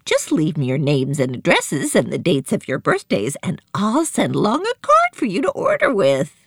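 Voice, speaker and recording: A woman's voice: on the low side, wildly swooping pitch, moderate pace, husky, dry recording, studio quality, exaggerated voice. A woman voicing a chatty, easily flustered woman shopkeeper in a storybook, with a rambling, emphatic manner. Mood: chirpy, chatty.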